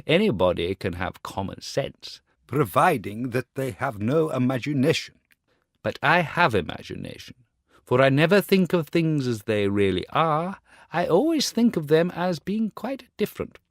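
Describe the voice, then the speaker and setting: A man's voice: fairly high pitch, very animated, moderate pace, no echo, studio quality. A man playing a pompous, vain firework rocket. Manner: pompous, self-important, indignant.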